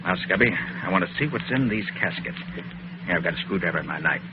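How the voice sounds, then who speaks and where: An assured master detective in vintage radio drama. His voice is low and he talks fast, taking charge. Loud noise runs under the recording. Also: lively intonation, highs cut off. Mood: purposeful. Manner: brisk, assured, in command. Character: confident, decisive male master detective. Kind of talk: old-time radio drama acting.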